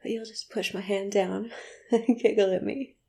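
A woman speaking a slow, intimate audio roleplay monologue, often in a breathy whisper with sighs and soft laughs between phrases. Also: medium pitch, fairly even intonation.

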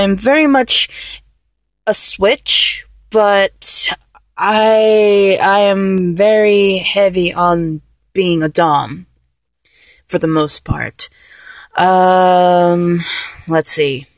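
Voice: mid-range voice, very slow, dry recording. A performer voicing a man who has just been transformed from a woman, rambling and stammering with frequent giggles. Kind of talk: solo audio roleplay.

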